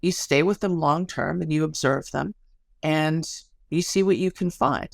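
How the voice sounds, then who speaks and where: Middle-aged American woman with creaky voice. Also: low voice, unhurried, no room sound. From Chicago area, United States. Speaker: interview guest, sociologist. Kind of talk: conversational explanatory storytelling.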